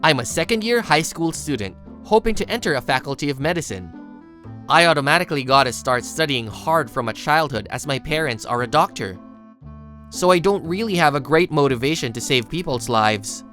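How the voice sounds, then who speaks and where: A reserved, studious teenage boy played by a voice actor in a manga cartoon. He sounds introspective and self-doubting, with lively intonation at a middling pitch. Music plays softly behind him. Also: a little fast, close to an everyday voice. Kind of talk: manga video character narration and dialogue.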